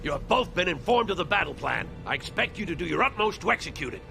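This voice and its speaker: An actor playing a stern, determined army colonel who gives curt military orders in a game cutscene. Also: male voice, high voice, big pitch swings, fast, vocal fry, heavily acted. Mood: commanding. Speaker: army colonel commanding officer. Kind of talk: video game cutscene acting. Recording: game soundtrack music behind.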